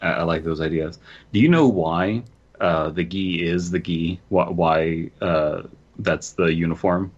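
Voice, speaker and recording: A man co-hosting a podcast, reading and commenting casually, with a husky voice. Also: low voice, fairly even intonation, unhurried. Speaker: podcast co-host. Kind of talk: casual comic commentary with some story reading. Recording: dull, lo-fi microphone sound.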